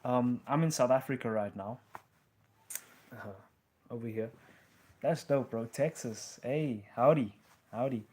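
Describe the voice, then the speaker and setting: A man's voice: mid-range voice, measured pace, pauses often, darkish tone. Laid-back young man chatting on a live stream. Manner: laid-back slang, calls listeners bro. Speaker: live-stream host answering viewer questions. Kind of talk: casual live-stream chat.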